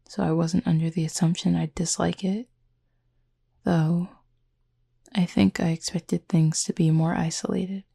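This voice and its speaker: Woman voicing a husky, gravelly, reserved cupid roommate. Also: fairly low pitch, heavily acted. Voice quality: husky, breathy, often near whisper. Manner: formal, measured, gently wry. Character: reserved, formal, tender supernatural cupid roommate. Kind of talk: intimate audio roleplay acting to the listener.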